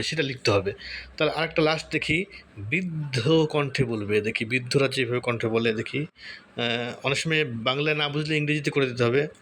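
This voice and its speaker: A man talks through a tutorial in a mid-pitched voice. He explains informally, often thinking aloud in a murmur, with some background noise behind him. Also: a bit bright. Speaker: tutorial host. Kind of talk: casual screen-recorded tutorial, thinking aloud.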